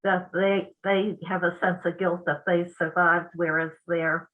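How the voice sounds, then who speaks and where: A woman's voice: lowish voice, little pitch movement, measured pace. A woman participating in a group discussion, raising a point as a question, heard through lo-fi audio. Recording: dull, lo-fi audio.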